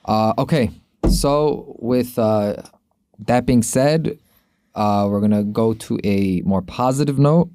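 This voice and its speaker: A male podcast host with a fairly low, mellow voice. He chats informally and rambles, circling back over his phrases, and the sound is dry and close. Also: takes it slowly, no echo. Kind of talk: casual sports podcast chat.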